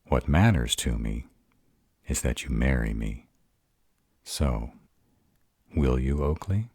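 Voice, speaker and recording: A man voicing a bashful, awkward male were-dragon in love, halting and earnest, in a romantic roleplay audio. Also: very deep voice, animated, a little mellow, breathy edge, dry recording, clean studio sound, full character voice. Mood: nervous, earnest.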